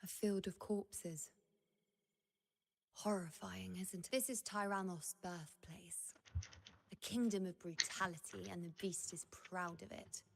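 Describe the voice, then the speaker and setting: An actress playing a poised, ominous huntress in a video game with dramatic delivery. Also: female voice, fairly low pitch, fairly even intonation, unhurried, creaky, long reverb tail. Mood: ominous, savoring.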